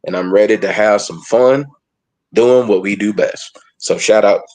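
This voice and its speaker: A man from Georgia co-hosting a sports podcast, excited and upbeat. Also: lowish voice, slight background noise, low-quality recording. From Georgia, USA. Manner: excited, upbeat, quick bursts of praise. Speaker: podcast co-host. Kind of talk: casual sports podcast chat.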